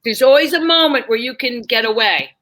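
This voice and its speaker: A woman's voice: fairly high pitch, lively intonation, a little fast, fairly bright tone. A woman telling stories conversationally, candid and emphatic, acting out bits of dialogue as a comedian guest. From New York, United States. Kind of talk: animated conversational storytelling.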